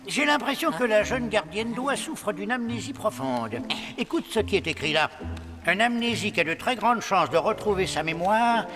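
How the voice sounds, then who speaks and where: A man voicing a bookish, fretful little animal sidekick in a French cartoon, wordy and excitable. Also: high-pitched, moderate pace, music in the background. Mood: scholarly.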